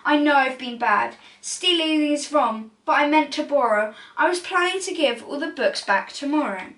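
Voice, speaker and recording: A woman's voice: high-pitched, lively intonation, normal speed, fairly bright tone. A woman voicing a sheepish, remorseful male book thief in a children's storybook. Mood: sorry, sheepish. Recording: roomy echo, distant from mic.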